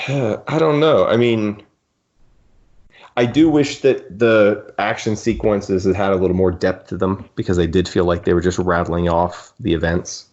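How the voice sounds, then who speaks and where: Low-voiced man rambling on a lo-fi podcast. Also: steady tone, a little slow, a little mellow. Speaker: podcast co-host. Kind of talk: casual fan discussion.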